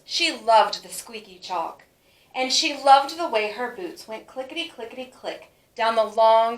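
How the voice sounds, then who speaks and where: Echoey, higher-voiced woman reading a storybook. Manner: animated, emphatic phrasing for young listeners. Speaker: teacher reading a picture book aloud. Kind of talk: expressive children's storybook read-aloud.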